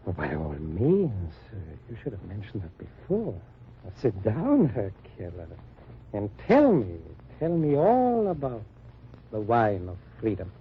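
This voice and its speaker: Underground resistance man, radio drama: animated, cagey, formal, halting; muffled vintage recording. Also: lowish voice. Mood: guarded, sly. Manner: hesitant, drawn-out, formal phrasing with swings of emphasis. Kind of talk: 1940s-50s radio drama acting. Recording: muffled, noisy old-time radio recording.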